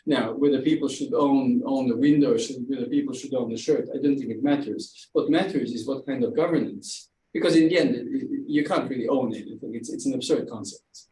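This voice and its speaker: A man speaking on an academic panel, halting and often repeating his words while he thinks. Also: medium pitch, mellow. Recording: echoey room, distant mic, faint hiss.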